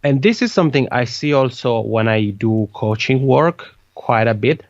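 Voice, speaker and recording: A man with a Swiss Italian accent, Italian his first language, answers interview questions in a lively way, repeating words as he goes. His voice sits at a middle pitch, and the audio is dull and lo-fi, like a remote call, with a dry, close sound. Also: moderate pace, no room sound. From Italian-speaking Switzerland. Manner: lively, repeats words, restarts phrases often. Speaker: podcasting consultant guest. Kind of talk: conversational interview.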